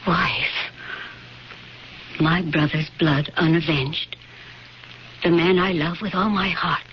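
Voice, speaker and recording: A woman's voice: lowish voice, steady tone, slow. An actress playing a passionate young noblewoman of ancient Pompeii in a radio drama, earnest and pleading in formal diction. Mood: anguished, indignant. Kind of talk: classic radio drama acting. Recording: dull, noisy old radio broadcast.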